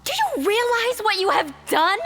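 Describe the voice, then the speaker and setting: An actress playing a stern, serious woman janitor in an audio drama, husky and sharply exasperated. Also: very high-pitched, big pitch swings, normal speed, fairly bright tone, heavily acted. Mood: angry, accusing.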